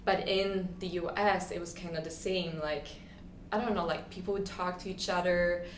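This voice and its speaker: A woman's voice: fairly low pitch, steady tone, normal speed. A woman in her twenties from Sweden, a guest telling her story in a casual interview, chatty and full of "like" and restarts, often acting out what people said. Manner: chatty, lots of "like" and restarts, acts out quotes. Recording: echoey room, faint ambient noise.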